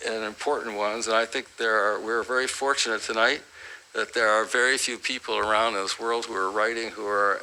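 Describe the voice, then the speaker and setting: A man giving a deliberate, opinionated public introduction at an academic talk, as its host. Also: fairly low pitch, creaky, sharp tone, a little hiss or hum, rough recording. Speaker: host introducing a guest lecturer.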